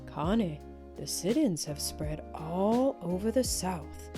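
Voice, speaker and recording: A woman voicing a gentle, steady father explaining things in a measured way in a children's storybook. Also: on the low side, over music. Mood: informing.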